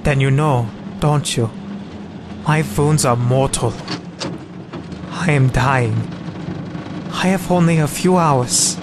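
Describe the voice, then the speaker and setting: An actor playing a mortally wounded German major, measured and formal, weak and resigned. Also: male voice, medium pitch, noisy background, natural voice. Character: wounded male German major, dying, composed.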